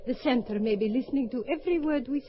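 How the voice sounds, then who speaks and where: A woman's voice: sits high, fast, noisy background, natural voice. A woman playing a spirited young Russian skater. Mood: determined. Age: young adult. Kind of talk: old-time radio drama acting. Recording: dull lo-fi radio recording.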